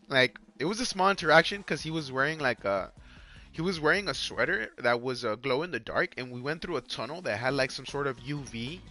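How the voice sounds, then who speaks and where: Bright-voiced Mexican guy rambling on stream. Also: mid-range voice. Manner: rambling, repeats himself, lots of "like" and "you guys". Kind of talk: casual live-stream chat while gaming. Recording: faint music underneath.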